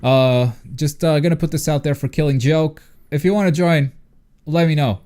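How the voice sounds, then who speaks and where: Mellow-voiced man hosting a casual livestream. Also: fairly high pitch, moderate pace. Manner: informal, swears freely. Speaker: livestream host. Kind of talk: casual chat with viewers.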